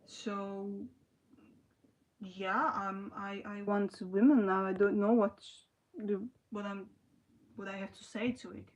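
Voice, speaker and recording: A young woman talks casually to her livestream viewers. She speaks haltingly, starting sentences over and leaning on "you know". Her pitch sits in an ordinary middle range. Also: fairly even intonation, rough recording. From Slovakia. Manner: halting, restarts often, frequent "you know". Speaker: livestream host.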